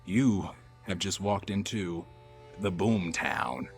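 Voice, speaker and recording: Man acting wary, low-talking Wild West saloon bartender. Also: slow pace, faint music behind. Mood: conspiratorial. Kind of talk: improvised radio storytelling.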